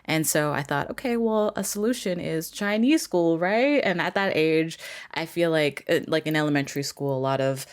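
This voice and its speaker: A Canadian artist talking as an interview guest in a laid-back, chatty way, laughing easily. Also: female voice, low-pitched, moderate pace. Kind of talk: casual interview conversation.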